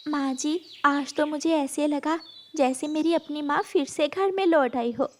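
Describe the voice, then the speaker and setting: A woman's voice: sits high, a little slow, slight background noise. A woman voicing a caring, protective mother sparrow in a cartoon with a motherly manner. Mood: touched. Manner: affectionate, motherly.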